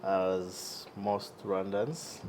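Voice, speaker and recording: A man's voice: low-pitched, level tone, drawn-out words, darkish tone, a little hiss or hum. A young man as an interview guest, talking casually with many fillers and easy laughs. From Uganda. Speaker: guest, entrepreneur.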